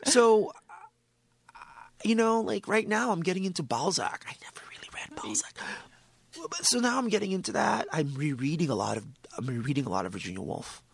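A man whose native language is Tagalog, speaking as an author guest in a casual interview, animated and emphatic, often laughing. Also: a little high, fairly quick, gravelly. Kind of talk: casual conversation.

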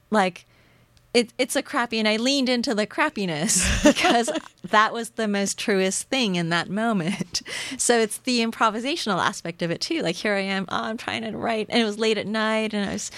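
A woman's voice: medium pitch, dry recording. A woman whose first language is Japanese, speaking English as a poet and translator in a thoughtful, musing conversation, often laughing lightly. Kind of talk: conversational interview.

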